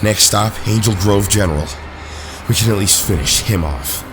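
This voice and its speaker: A man's voice: on the low side, background noise, lightly acted. A man playing a menacing, gloating villain pilot in an audio drama. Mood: cold, resolved. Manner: slow, gloating. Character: menacing male villain pilot, the evil Green Ranger.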